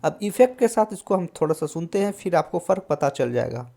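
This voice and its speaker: A man hosting a casual tutorial on music software, explaining step by step and often adding short check-in tags. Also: fairly high pitch, very animated, brisk, gravelly. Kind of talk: casual screen-recorded tutorial.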